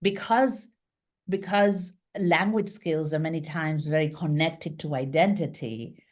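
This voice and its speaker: A middle-aged woman from Argentina, a business founder, talking as a guest on a video call interview with lively hand gestures and many fillers. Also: deep voice, measured pace. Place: small home office, indoors. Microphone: video call webcam.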